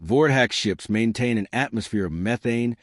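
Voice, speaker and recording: A man's voice: medium pitch, normal speed, no echo, studio quality, natural voice. Man voicing a skeptical female ship's doctor. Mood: skeptical warning. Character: skeptical female starship chief medical officer.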